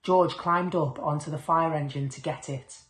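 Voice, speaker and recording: Woman, lower-pitched, children's storyteller, expressive read-aloud, echoey room. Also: normal speed. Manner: expressive, acts out dialogue and sound words.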